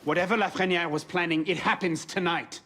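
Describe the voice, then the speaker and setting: A man's voice: sits high, steady tone, brisk, pushed and bright. An actor playing a determined, respectful but defiant young male assassin in a video game. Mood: earnest, urgent. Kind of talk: video game cutscene acting. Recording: music behind the voice.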